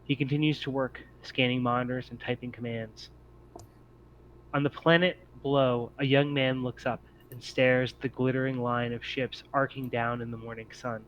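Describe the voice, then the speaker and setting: Guy reading out scene setting for a tabletop rpg, measured storyteller delivery, middle pitch, bit of noise behind. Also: fairly even intonation, a bit bright, highs cut off. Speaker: game master. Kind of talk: scripted scene-setting narration for a tabletop game. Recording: noticeable background noise.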